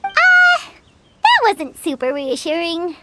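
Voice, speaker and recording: Tiny squeaky fairy sidekick, chirpy n opinionated, super animated, game music behind. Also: female voice, slow, full character voice. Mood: uneasy. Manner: refers to self in third person, expressive. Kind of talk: video game voice acting.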